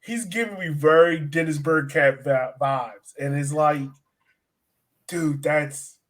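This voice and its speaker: A man's voice: a little high, steady tone, takes it slowly. A man co-hosting a sports podcast, talking casually about soccer in a slangy, emphatic way and repeating phrases.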